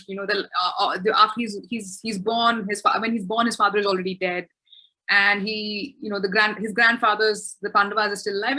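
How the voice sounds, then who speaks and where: A woman's voice: mid-range voice, bright, forceful tone, low-quality recording. A woman author answering questions in a webinar, thoughtful and self-correcting, with frequent restarts.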